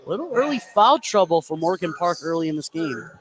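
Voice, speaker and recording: A man's voice: fairly high pitch, fairly quick, low-quality recording. A man doing live play-by-play basketball commentary for radio, lifting his voice as the action builds. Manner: rises in excitement on shots and steals.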